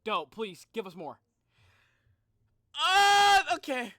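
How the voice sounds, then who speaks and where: A young man giving casual, excitable live reaction commentary, exclaiming and thinking aloud. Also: very high voice, slow pace, dry recording. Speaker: reaction video host.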